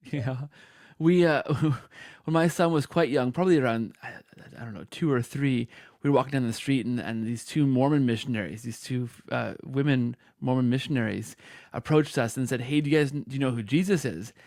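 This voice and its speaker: A man's voice: medium pitch, normal speed, darkish tone, no echo, studio quality. A man hosting a podcast, interviewing casually with informal, hesitant phrasing that circles back on itself. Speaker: podcast host.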